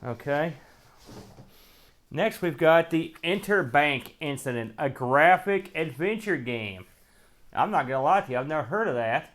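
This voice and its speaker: A man's voice: on the high side, lively intonation, unhurried, fairly bright tone. A man hosting a retro gaming show, giving casual, wry unboxing commentary in folksy slang as he thinks aloud while handling items.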